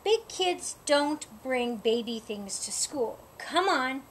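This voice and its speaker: A woman's voice: high voice, animated, slow pace, a little hiss or hum. A woman voicing a worried, bossy small male animal in a storybook, insistent and cautioning. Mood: disapproving.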